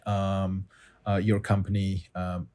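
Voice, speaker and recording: A man with a low, mellow voice, hosting an interview. He asks his questions hesitantly and with many fillers, in a warm, unhurried tone. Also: completely flat delivery. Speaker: podcast host.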